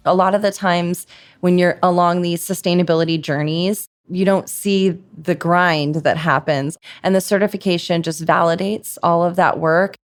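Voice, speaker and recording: A woman's voice: lowish voice, fairly even intonation, normal speed, faint music behind. A woman who manages stadium tours and sustainability, speaking enthusiastically and conversationally in an interview. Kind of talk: interview remarks.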